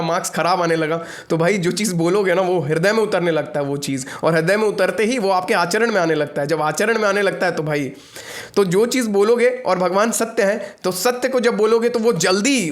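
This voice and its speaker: A young adult man speaking as a spiritual teacher, giving an informal devotional discourse in an earnest, instructive manner with repeated phrases for emphasis. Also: high-pitched. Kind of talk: devotional discourse, mixing reading with informal explanation.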